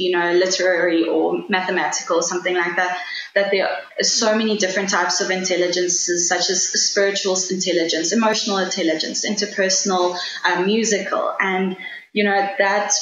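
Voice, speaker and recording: A woman's voice: lowish voice, fairly bright tone. A South African woman whose first language is Afrikaans, answering interview questions in an earnest, enthusiastic way and often restarting her sentences as she thinks aloud. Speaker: guest, travel writer. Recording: echoey room with faint background noise.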